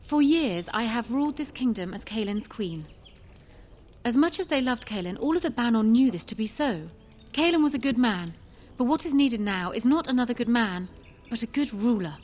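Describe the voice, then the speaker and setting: An actress playing a proud, ambitious queen in a fantasy video game, speaking formally with calm, measured persuasion. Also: on the high side. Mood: persuasive. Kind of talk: fantasy game dialogue acting.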